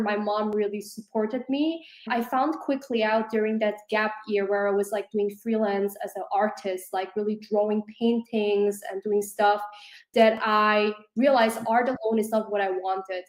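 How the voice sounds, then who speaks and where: A woman's voice: mid-range voice, a little mellow, reverberant, poor audio. A woman in her thirties, raised in Switzerland, telling her life story earnestly as an interview guest. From South Korea, raised in Switzerland. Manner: earnest, fluent with many "like" fillers. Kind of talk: conversational personal story.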